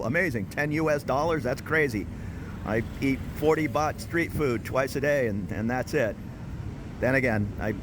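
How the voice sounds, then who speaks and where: A man talking casually as he vlogs, rambling and chatty with plenty of fillers, out on a city street. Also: medium pitch. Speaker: expat vlogger. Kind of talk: casual walking vlog. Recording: street traffic noise behind.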